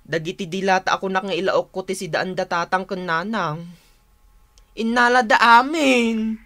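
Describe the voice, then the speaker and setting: Woman playing fed up younger sibling in ilocano radio drama, ilocano accent, bright tense voice. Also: mid-range voice, normal speed, natural voice. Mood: distressed. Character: younger sibling, exasperated housekeeper of a rural household.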